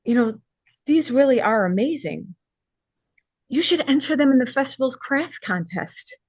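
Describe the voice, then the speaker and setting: A woman playing a warm, earnest young businesswoman in a script table read. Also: high voice, expressive, measured pace, breathy edge, no room sound, heavily acted. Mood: sincere, impressed.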